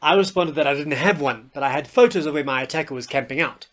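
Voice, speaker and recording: A man narrates a personal story as a podcast host. His voice is crisp-edged and bright, a bit high for a man, with a wry, candid delivery. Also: fast. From South Africa.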